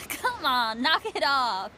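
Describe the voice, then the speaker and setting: A woman's voice: sits high, very animated, very slow, sharp tone, a little hiss or hum, heavily acted. A woman playing an easygoing, confident young rock musician in a video game, talking in a casual, folksy way. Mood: amused.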